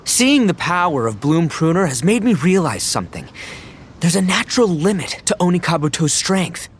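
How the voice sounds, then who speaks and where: Wildly animated, bombastic young hothead. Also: male voice, a little high, moderate pace, slight background noise. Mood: fired-up resolve. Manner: bombastic, dramatic, swinging from solemn to shouting. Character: boisterous, theatrical young man, earnest beetle-battling enthusiast. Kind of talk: animated video game acting.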